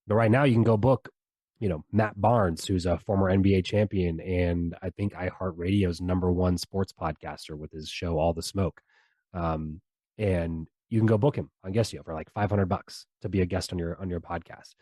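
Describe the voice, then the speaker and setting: A man guesting on a podcast, pitching his ideas in a loose, persuasive way. His voice is mellow and warm, recorded dry and close. He talks with a lot of energy and slang. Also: fairly even intonation, normal speed, no echo. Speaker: podcast guest, software founder. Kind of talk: casual interview talk.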